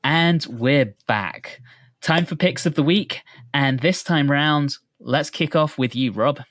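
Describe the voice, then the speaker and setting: A man's voice: on the high side, slow pace, a bit bright, dry recording, soft background music. Dry-sounding man hosting a casual podcast. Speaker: podcast host.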